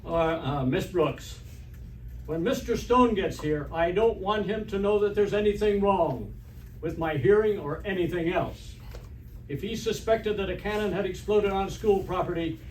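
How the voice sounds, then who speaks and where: Man playing a high-pitched blustery deaf principal, echoey. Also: unhurried. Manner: pompous, blustery. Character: blustering, hard-of-hearing male school principal. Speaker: amateur stage actor. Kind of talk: old-time radio comedy acting. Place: live stage performance in a hall. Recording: echoey hall, distant mic, faint noise.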